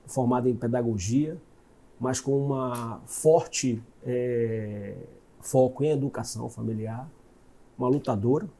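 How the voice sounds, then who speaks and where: A Bahian man in his forties, a guest telling his life story in a reflective, measured way. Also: medium pitch, dark tone. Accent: Bahian Portuguese. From Salvador, Brazil. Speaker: guest executive. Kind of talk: interview storytelling.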